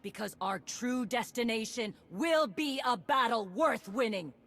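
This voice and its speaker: An actress playing a tough, blunt warrior commander with a dry, rallying delivery. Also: female voice, on the high side, animated, unhurried, fairly bright tone, soft background music, heavily acted.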